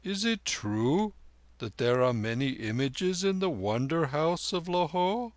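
A man voicing a gentle, weary old Tibetan lama who speaks in solemn, measured, old-fashioned phrases. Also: a little high, animated, no room sound, pitched above the performer's own voice. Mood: serene, earnest.

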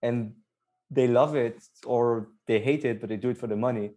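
A young man from Belgium talks as a podcast guest, upbeat and full of detail, padding his sentences with casual fillers. His voice sits at an everyday middle pitch for a man. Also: fairly even intonation, moderate pace, warm tone. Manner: upbeat, explains at length with fillers. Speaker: startup co-founder guest.

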